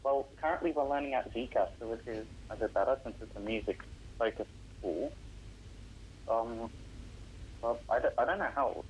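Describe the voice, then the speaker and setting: A man's voice: mid-range voice, normal speed, long pauses, a little hiss or hum, rough recording. A teenage boy, a Russian speaker at home, answering radio interview questions about composing, earnest and explaining at length with some hesitations. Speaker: young composer guest.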